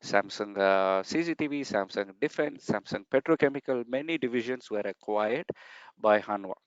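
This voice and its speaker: A man's voice: lowish voice, animated, normal speed, smooth, no echo. Man teaching over a dull, dry call. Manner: explanatory, uses many fillers and checks like okay. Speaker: sales trainer. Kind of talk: online training webinar. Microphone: video call.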